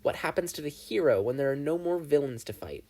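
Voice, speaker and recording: Gleeful evil triangle demon as a reader puts him on, mocking and theatrical, husky edge, pitch jumping all over. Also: lively intonation, fairly quick, darkish tone. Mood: taunting. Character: gleeful menacing male triangle dream demon. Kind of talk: fan fiction audiobook reading.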